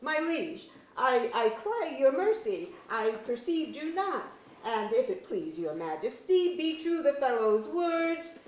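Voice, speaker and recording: Woman as an imperious high-voiced magistrate. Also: big pitch swings, takes it slowly, hardly any pauses, exaggerated voice. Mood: deferential. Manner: commanding, declamatory. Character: imperious magistrate barking orders in archaic English. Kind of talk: staged reading of pseudo-Elizabethan verse drama. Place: museum auditorium, live reading. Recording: echoey auditorium with background hiss.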